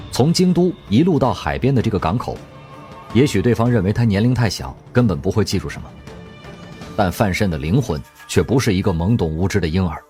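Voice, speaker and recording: Deep, lively male audiobook narrator. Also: breathy edge. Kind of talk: scripted storytelling narration of a novel-based audio drama. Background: background music.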